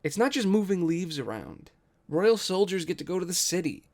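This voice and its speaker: A man's voice: high voice, very animated, normal speed, pitched above the performer's own voice. A man voicing an eager, ambitious young brother who coaxes and urges, in a dramatic story reading. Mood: urgent coaxing. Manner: urging, coaxing.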